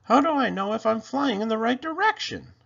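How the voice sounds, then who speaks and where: A man acts out a little Christmas elf from a storybook, pitched up high and swinging widely in tone. He is anxious yet plucky, exclaiming and fretting as he goes. The sound is slightly dull. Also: animated, a little fast, creaky, fairly bright tone, full character voice. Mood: worried. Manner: exclaiming, fretful. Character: small anxious plucky male Christmas elf, easily alarmed.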